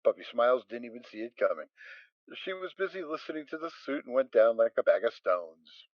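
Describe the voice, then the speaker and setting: A man narrating a fan audio drama, reading with expressive storytelling. The audio is dry and slightly dull. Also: mid-range voice, brisk, dry recording.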